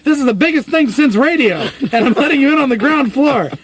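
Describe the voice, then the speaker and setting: A man playing a brash, excitable young wheeler-dealer in a radio play parody, hearty and pushy. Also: extremely high pitch, fairly quick, no echo. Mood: excited, pushy.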